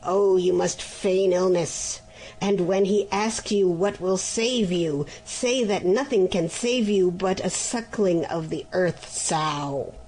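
A woman voicing a sly, scheming male griffin in a fairy tale reading, speaking low and coaxing. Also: slow, darkish tone, low-quality recording.

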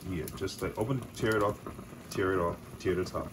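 A very deep male voice giving calm, instructive directions from off camera. The home kitchen around him adds clear background noise. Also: brisk. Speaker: father helping off camera. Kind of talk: casual coaching.

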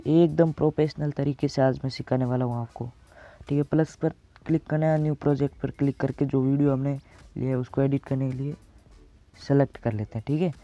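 Young male tutorial host, teens, from India. Informal step-by-step explaining, frequent check-ins, muffled low-grade recording. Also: little pitch movement, fairly quick, dark tone, faint music behind. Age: teenager. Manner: addresses viewers as friends, checks in often. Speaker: tutorial presenter. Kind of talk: casual step-by-step video editing tutorial.

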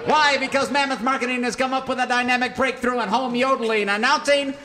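An actor playing an oily, grandiose pitchman in a parody radio commercial. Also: fairly even intonation, fast, heavy background noise. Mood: grandly earnest. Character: smarmy evangelizing radio pitchman selling gadgets. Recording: reverberant theater hall.

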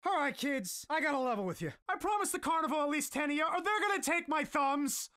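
A performer voicing a shady, desperate schoolteacher in an anime parody dub, patronizing and pitching like a salesman. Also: expressive, quick pace, bright, forceful tone, no echo, clean studio sound. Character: shady, desperate schoolteacher cheerfully discouraging students.

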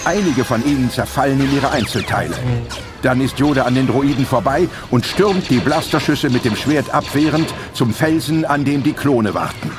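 A man with a medium-pitched voice narrates an adventure audio play. His delivery is scripted and dramatic, and music runs clearly behind the words. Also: animated, normal speed.

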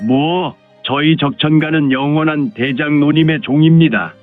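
A man's voice: a little high, moderate pace, lightly acted. A man playing a hot-blooded clan lord who fawns on his superiors, speaking formally and deferentially in a martial-arts audio drama. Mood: obsequious. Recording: phone-like narrow sound, music behind.

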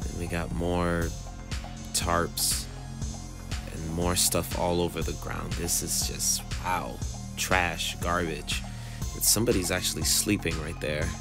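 A man's voice: low-pitched, flat delivery, takes it slowly, gravelly. A man in his thirties giving a casual, musing vlog commentary, often repeating phrases and asking rhetorical questions. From moved to California in 2005. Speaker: vlogger. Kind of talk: casual observational vlog narration. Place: outdoors on city streets and in a car, phone vlog. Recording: background music under street narration.

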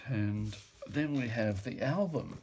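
A man's voice: mid-range voice, expressive, slow, a little mellow, slight background noise. A man describing auction items in casual, off-the-cuff commentary, musing with fillers and self-corrections, recorded indoors at a table.

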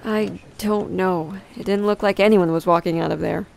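A woman's voice: fairly low pitch, fairly even intonation, normal speed, gravelly, a little mellow. A woman playing a level-headed police detective in an audio drama, terse, dry and matter-of-fact. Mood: grave.

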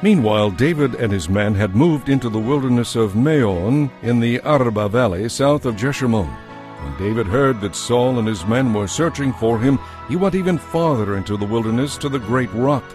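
Male narrator, mid-pitched, dramatized scripture storytelling with light music underneath. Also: expressive, moderate pace, darkish tone.

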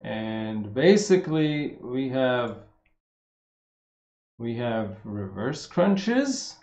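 A man in his thirties from Los Angeles with a slight Armenian accent, a fitness coach answering viewer questions in a relaxed, rambling live chat. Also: medium pitch, very slow, reverberant. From Los Angeles, USA. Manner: relaxed and rambling, with many fillers and swearing. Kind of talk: casual live-stream Q&A.

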